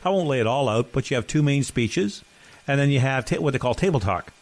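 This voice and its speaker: A middle-aged man co-hosting a chat podcast, voice sitting at an ordinary middle pitch. He rambles through his stories, correcting himself as he goes, and now and then he coughs. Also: animated, a little fast. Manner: rambling, self-correcting storyteller. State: occasional cough. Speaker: podcast co-host. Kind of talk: casual chat.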